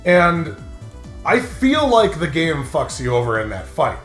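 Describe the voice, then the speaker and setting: A man's voice: on the high side, big pitch swings, measured pace, fairly bright tone. A man co-hosting a game show in casual, profane chat, explaining things at length in a rambling way. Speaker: co-host of a gaming playthrough show. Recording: roomy echo with music behind.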